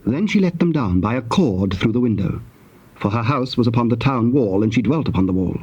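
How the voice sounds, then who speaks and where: A man narrating a dramatized Bible in measured, solemn storytelling style, with a husky voice. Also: mid-range voice, animated, darkish tone, slight background noise. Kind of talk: scripted scripture narration. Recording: slightly dull tape sound.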